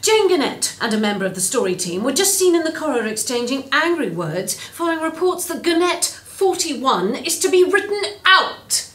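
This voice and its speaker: A middle-aged British woman boss in a comedy soap. Her voice is high and bright, swinging widely in pitch. She is exasperated, cutting and sarcastic. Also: big pitch swings, normal speed, few pauses. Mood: scathing. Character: furious, foul-mouthed woman boss of a radio drama office. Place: office, indoors.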